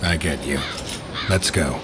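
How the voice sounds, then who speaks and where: An actor playing a stoic, dry-witted monster hunter in a video game, speaking tersely in a deadpan manner. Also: male voice, rumbling low, measured pace, heavy background noise. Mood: wry, friendly. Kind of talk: video game dialogue acting.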